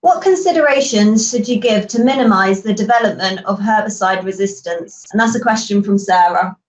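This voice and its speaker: A woman hosting a webinar, moderating questions in a brisk, friendly way. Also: mid-range voice. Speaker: webinar host. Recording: roomy, distant audio.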